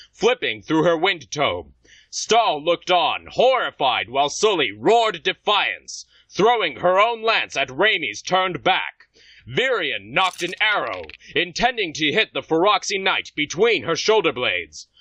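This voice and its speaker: A man reading scripted audio drama narration in a dramatic, expressive storytelling manner. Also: a little high, unhurried, no room sound, recorded from a distance, exaggerated voice, rough recording. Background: music.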